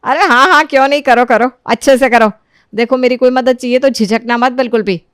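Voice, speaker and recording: A woman's voice: fairly high pitch, animated, moderate pace, no echo, clean studio sound, voice pushed up, natural voice. A woman voicing a middle-aged mother in a family story, exasperated but caring, with emphatic motherly talk. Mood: pleased, encouraging.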